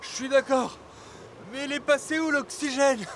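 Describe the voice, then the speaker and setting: An actor playing a grumbling, wisecracking young football player in a French-dubbed cartoon, slangy and exasperated. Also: male voice, extremely high pitch, steady tone, moderate pace, a bit bright, slight background noise.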